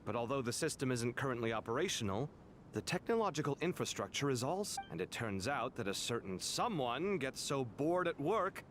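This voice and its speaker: An actor playing a dry-witted, caring young scholar in an anime-style video game, wry and expressive. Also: male voice, mid-range voice, fairly quick, slight background noise.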